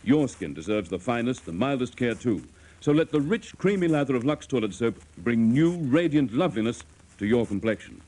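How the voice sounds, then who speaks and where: Casting: male 1950s radio commercial announcer, mid-pitched, very lively intonation, polished sales copy. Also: moderate pace, poor audio. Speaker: radio announcer, advertising reader.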